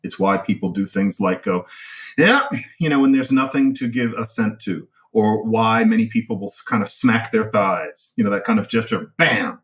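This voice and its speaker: A man in an explanatory discussion, articulate and animated, acting out small examples as he goes. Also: fairly low pitch, moderate pace, distant mic. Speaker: expert guest. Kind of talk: explanatory discussion of language and society.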